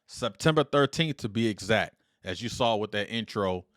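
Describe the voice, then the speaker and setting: Loud, confident middle-aged man hosting. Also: on the low side, lively intonation, no echo, clean studio sound. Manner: loud, confident, upbeat and deliberate. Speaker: podcast host. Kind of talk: solo podcast monologue. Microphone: dynamic studio mic.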